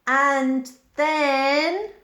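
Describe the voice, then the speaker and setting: A woman reading a children's story aloud in an expressive, deliberate, emphatic way, adding simple explanatory asides. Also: high-pitched. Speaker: storyteller at a special school. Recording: roomy, echoing indoor sound.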